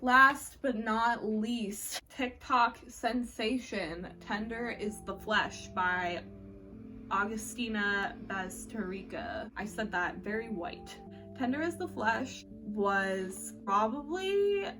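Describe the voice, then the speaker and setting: A woman's voice: medium pitch, expressive, slow. A woman chatting casually about what she has read as a book vlogger, informal and candid with slang and some swearing. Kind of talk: casual chatty monthly reading recap to camera. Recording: music playing behind the voice. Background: background music.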